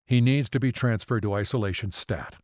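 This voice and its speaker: A man voicing a gruff, combative family physician with a blunt, authoritative manner, in an audiobook. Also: fairly low pitch, brisk, darkish tone, no room sound, clean studio sound, lightly acted. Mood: commanding. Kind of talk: audiobook character acting.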